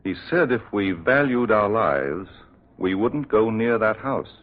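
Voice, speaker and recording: An actor playing an earnest, loyal sidekick in a radio drama, friendly and insistent in manner. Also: male voice, lowish voice, expressive, measured pace, a little hiss or hum. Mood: urgent. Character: earnest, loyal male sidekick to a priest detective. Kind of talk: old-time radio drama acting. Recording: muffled old radio recording.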